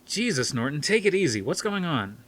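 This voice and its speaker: A man's voice: fairly high pitch, very animated, brisk, fairly bright tone, slight background noise, pitched above the performer's own voice. A man reading a novel aloud as a blunt, sardonic, skeptical male scientist with dry delivery. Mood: irritated.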